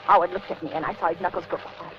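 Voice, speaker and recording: An actress playing an anxious captive housewife in a 1940s radio thriller, halting and melodramatic in both dialogue and narration. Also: female voice, fairly low pitch, steady tone, over music, natural voice. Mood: tense narration. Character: terrified, pleading housewife held captive. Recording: muffled, noisy vintage radio recording.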